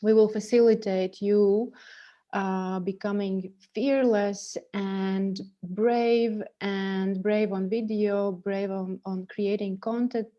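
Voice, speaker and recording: A woman's voice: medium pitch, steady tone, slow, dark tone, dull audio. A woman hosting an interview over a video call, speaking hesitantly with many fillers. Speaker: interview host. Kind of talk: interview, casual chat.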